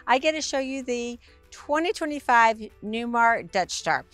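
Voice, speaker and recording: A woman with a raspy, hoarse voice giving a bubbly, upbeat sales tour of a motorhome. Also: a little high, animated, unhurried, a bit bright, no room sound, soft background music. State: hoarse from a week of talking. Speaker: RV sales presenter. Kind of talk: enthusiastic walkaround tour.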